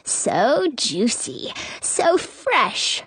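A woman voicing a sly old lady apple seller in a storybook, drawn-out and sing-song in manner. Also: sits high, big pitch swings, a bit bright, dry recording, voice pushed up, exaggerated voice. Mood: teasing, coaxing.

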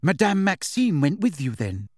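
A man voicing an earnest, excitable, proper teenage girl student in an audiobook. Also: fairly high pitch, normal speed, dry recording, studio quality, pitched above the performer's own voice. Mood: curious. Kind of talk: audiobook character voice.

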